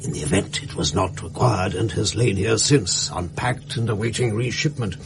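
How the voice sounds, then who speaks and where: An actor playing a stuffy bank director in a radio drama, speaking in a formal, proper manner. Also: male voice, lowish voice, moderate pace, vocal fry, background noise.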